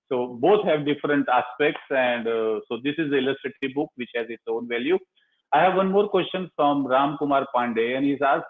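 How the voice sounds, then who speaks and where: A man with an Indian accent moderates an online question session as a surgeon, explaining in a measured way with hesitant pauses. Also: mid-range voice. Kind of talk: live online discussion, answering audience questions. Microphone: online video call. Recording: muffled, narrow-band internet call audio.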